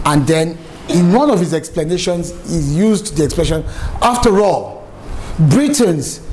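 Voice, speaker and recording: A Nigerian man in middle age gives a public speaking lesson, telling stories with emphatic energy. His voice sits fairly high and swings widely in pitch. A faint hum of room ambience sits beneath him. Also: rough recording. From Nigeria, raised in Lagos. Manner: animated, emphatic storyteller. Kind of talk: lively spoken lecture with anecdotes.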